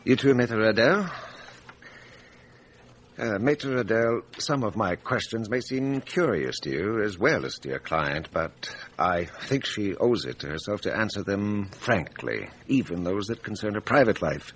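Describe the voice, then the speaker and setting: An actor playing a courteous, probing examining magistrate in a radio drama, questioning formally and deliberately with small hesitations. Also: male voice, lowish voice, lively intonation, fairly bright tone, slight background noise, rough recording.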